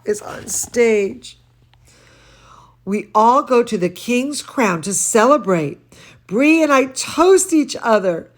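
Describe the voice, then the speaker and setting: A woman reading a bedtime storybook aloud to children, expressive and cooing, speaking as if to very young listeners. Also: mid-range voice. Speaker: children's storyteller, dance studio teacher.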